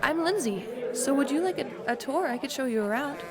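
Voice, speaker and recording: A woman playing an awkward, friendly high school girl in an audio drama, speaking hesitantly. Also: animated, brisk. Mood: awkwardly friendly. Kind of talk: scripted audio drama acting.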